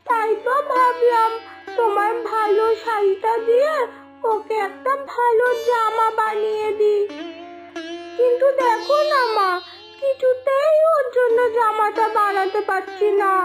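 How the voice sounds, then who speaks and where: A woman voicing an innocent little village girl in an animated story, pleading with drawn-out, wheedling childish words. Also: very high voice. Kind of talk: animated moral story acting. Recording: music behind the voice.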